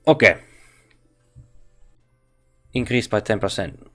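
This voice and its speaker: A man with a Finnish accent reviewing a game character, thinking aloud in halting English with frequent restarts. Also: on the low side, lively intonation, faint music behind. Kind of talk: casual game commentary.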